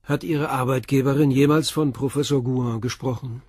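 An actor playing a calm police inspector who questions a witness with short, pointed questions in a radio crime play. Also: male voice, medium pitch, moderate pace, slightly rough, no room sound, studio quality, natural voice. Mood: probing.

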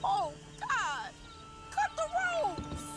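A woman's voice: extremely high pitch, wildly swooping pitch, extremely slow, loud music behind the voice, exaggerated voice. An actress playing a distraught frontier woman just rescued, in a western video game, speaking dramatically. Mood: shaken relief.

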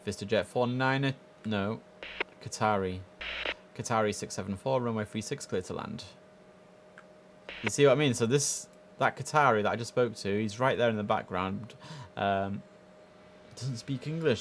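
A young man in a headset streaming an air traffic control game, chatting to his viewers and giving clipped radio calls. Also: on the low side. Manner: chatty, addresses chat, mixes in clipped radio phrasing. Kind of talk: casual gameplay commentary with radio calls. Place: home room at a desk.

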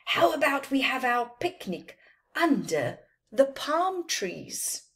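Lady doing an excited horse in a kids book, pitched up, eager and friendly. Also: slow, full character voice. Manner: eager, exclaiming.

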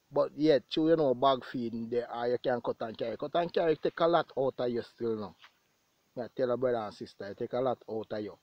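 A dull, lo-fi phone recording with a dry, close sound carries a Jamaican man's voice. He sits at a middle pitch and chats casually to his viewers outdoors, repeating phrases to drive his points home. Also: dry recording. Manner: conversational, repeats phrases for emphasis. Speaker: goat farmer and vlogger. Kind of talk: casual vlog talk to viewers. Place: outdoors in an open grassy pasture.

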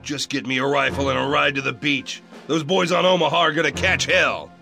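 An actor playing a brash, impatient American general, pleading and cocky in a game cutscene. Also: male voice, a little high, steady tone, fairly quick, gravelly, sharp tone. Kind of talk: video game cutscene acting. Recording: over background music.

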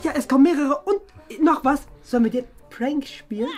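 A man's voice: extremely high pitch, normal speed, darkish tone. A young man playing a naive, eager little boy in a kids' comedy skit, his voice shifted up and childlike. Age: young adult. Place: furnished room indoors. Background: background music.

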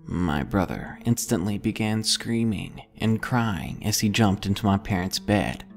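A man's voice: fairly low pitch, a little slow, creaky, soft background music. A man narrating a scripted first-person ghost story as a horror storyteller.

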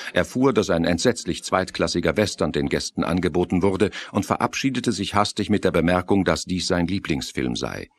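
A man's voice: sits low, breathy edge, no room sound. A man narrating a scripted German radio play as a storyteller.

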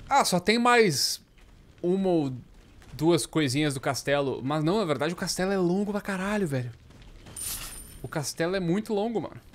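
A man's voice: a little high, a little hiss or hum. A Brazilian man giving casual, playful live commentary on a game, with slangy speech and swearing. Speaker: game streamer. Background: game music and effects.

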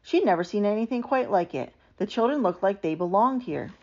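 A woman giving an animated, expressive read-aloud of a children's picture book. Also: medium pitch, brisk. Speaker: storybook reader. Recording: dull, lo-fi sound.

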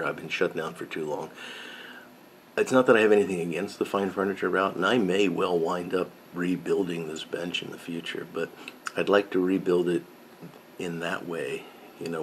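Deep-voiced middle-aged man chatting in his workshop. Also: slight background noise. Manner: rambling and self-correcting, with frequent fillers. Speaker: pipe-smoking woodworking channel host. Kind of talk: casual chat to camera. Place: home workshop with pegboard of tools.

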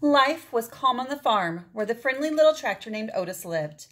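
Female storyteller, 40s, slightly high pitch, deliberate dramatic picture-book read-aloud with asides to young listeners. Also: expressive, fairly bright tone. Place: indoors, small room at home.

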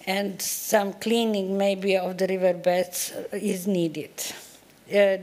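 Slow, deliberate older woman lecturing. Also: mid-range voice, darkish tone. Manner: measured, deliberate, with frequent hesitations. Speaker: keynote speaker. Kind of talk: conference presentation. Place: large conference hall, at a lectern. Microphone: lectern microphone.

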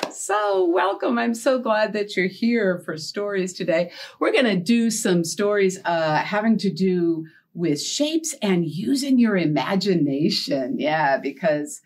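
Children's storyteller: middle-aged American woman, very lively swooping intonation, unhurried delivery for little kids. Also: medium pitch. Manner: animated, encouraging, addresses young children with questions. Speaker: children's librarian leading storytime. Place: indoor storytime set with backdrop and felt board.

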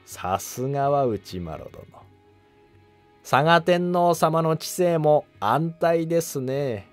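A man's voice: fairly high pitch, lively intonation, slow, faint music behind, voice pushed up. A man voicing a shrewd Buddhist monk adviser who asks polite, insinuating questions in a historical drama animation. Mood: shrewd, probing.

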